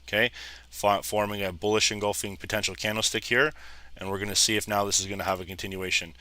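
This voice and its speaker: Slightly hoarse man walking through charts. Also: on the low side, sharp tone, no echo. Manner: casual, repeats "guys" and "like I said". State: slightly hoarse, losing his voice. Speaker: trading educator. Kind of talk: live trading screen commentary.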